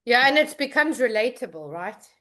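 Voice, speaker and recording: A woman's voice: on the high side, very animated, moderate pace, sharp tone. A woman hosting a podcast interview, asking questions and answering with friendly, short agreements. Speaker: podcast host.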